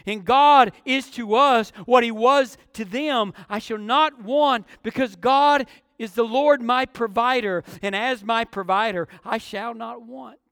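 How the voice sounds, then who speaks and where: A man's voice: extremely high pitch, a little slow, fairly bright tone, clear, resonant tone, no room sound, clean studio sound, big-room sound. A man preaching an expository sermon, with emphatic phrasing and repetition for effect.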